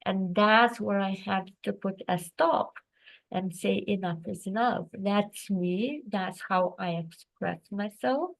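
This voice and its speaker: Woman guest, slow and thoughtful, talking on a video call. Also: medium pitch, gravelly, poor audio. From Lithuania. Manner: earnest, reflective, with non-native phrasing. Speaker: guest, writer. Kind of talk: personal storytelling in an interview. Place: home in Croatia, on a video call.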